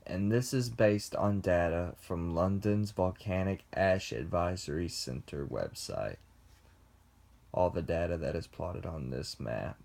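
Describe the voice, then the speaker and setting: A young man giving a class presentation, reading slowly and deliberately with pauses. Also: low voice, creaky, darkish tone. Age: young adult. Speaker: student presenter. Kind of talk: class presentation read from script.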